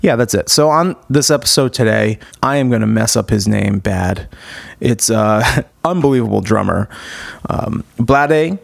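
A man's voice: fairly low pitch, expressive, measured pace, gravelly, dry recording. A man in his thirties hosting a podcast, talking casually and enthusiastically with lots of fillers and restarts. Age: 30s. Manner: rambling, filler-heavy, enthusiastic. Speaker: podcast host. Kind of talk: casual podcast intro and chat.

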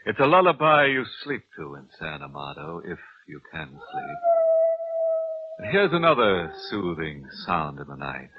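An actor playing a ghoulish, mock-cordial horror host with macabre relish on an old radio show. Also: male voice, low-pitched, wildly swooping pitch, heavily acted. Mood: ominous relish. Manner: slow, drawn-out, chuckling, swooping. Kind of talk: 1940s radio horror hosting. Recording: tinny, noisy old radio recording.

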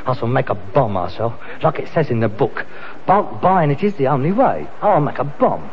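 A man's voice: mid-range voice, sing-song, moderate pace. An actor playing an aspiring, defensive rag and bone man son with a London accent in a vintage British radio sitcom, indignant and pleading. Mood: defiant. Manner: indignant, wheedling. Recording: muffled noisy old radio recording, audience.

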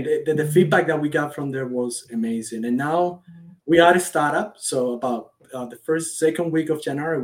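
A man's voice: mid-range voice, rough recording. A man from Cuba with a Cuban accent, a native Spanish speaker, answering interview questions with earnest enthusiasm. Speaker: guest, startup founder.

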